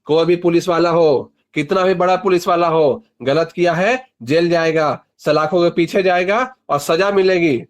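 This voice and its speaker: Male political interviewee on a phone call, high voice, insistent and repetitive for stress. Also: measured pace, few pauses. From Bihar, India (Magadh region). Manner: emphatic, repeats phrases for stress. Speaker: party politician being interviewed.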